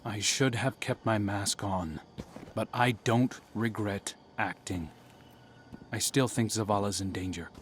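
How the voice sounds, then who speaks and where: A man's voice: fairly low pitch, a little slow, a little hiss or hum, natural voice. An actor playing an earnest young male fighter who reflects on a hard choice. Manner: earnest, reflective, quietly defiant. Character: young male guardian, earnest and guilt-stricken. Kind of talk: video game cutscene dialogue. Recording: game score behind.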